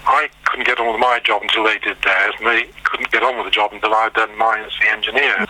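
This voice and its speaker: An older man from Liverpool, recalling his life in an oral history interview, unhurried and rambling with frequent pauses and restarts. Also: lowish voice, flat delivery, pushed and bright, low-quality recording. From Liverpool, England.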